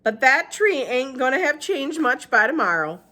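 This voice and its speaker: A woman voicing a kindly, wise old woman with poor eyesight and a drawling Southern accent, pitched high and loud. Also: sing-song, normal speed, exaggerated voice. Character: old woman with bad eyes, warm and wise.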